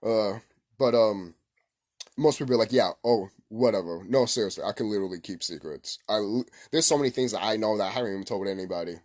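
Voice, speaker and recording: A man hosting a casual, unscripted gaming vlog, rambling excitably, repeating himself and swearing casually. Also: on the low side, quick pace. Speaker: gaming video host.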